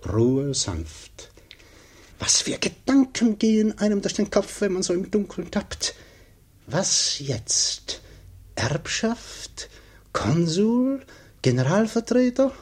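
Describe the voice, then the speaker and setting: A man's voice: sits high, very animated, takes it slowly, a little mellow, exaggerated voice. A man playing a pompous, fretful everyman who mutters to himself in a 1950s radio comedy monologue, swinging between grand hopes and grumbling doubt. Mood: daydreaming, puffed up. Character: pompous, fretful everyman daydreaming aloud of riches and status.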